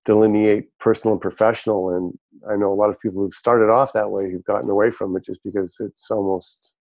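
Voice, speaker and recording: A man on a video call, speaking in a thoughtful, measured way with some ums. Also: on the low side, steady tone, a little mellow, no room sound, low-quality recording. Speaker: participant. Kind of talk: casual group discussion.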